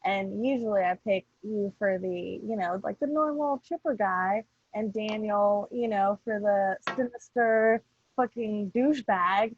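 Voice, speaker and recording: A woman's voice: mid-range voice, a little slow. A woman podcast host chatting casually and playfully with swearing, on slightly dull online-call audio. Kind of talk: casual chat.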